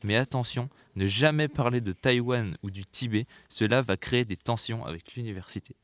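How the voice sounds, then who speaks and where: A man's voice: mid-range voice, normal speed, no echo. A young man reading a prepared school report aloud, with rhetorical asides and occasional self-corrections. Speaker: student presenter.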